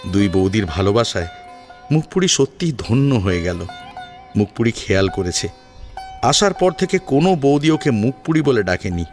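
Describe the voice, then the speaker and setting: A man's voice: on the low side, sing-song, moderate pace, darkish tone. A man narrating a story in a dramatic audiobook style. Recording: sitar music behind the voice. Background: plucked sitar music.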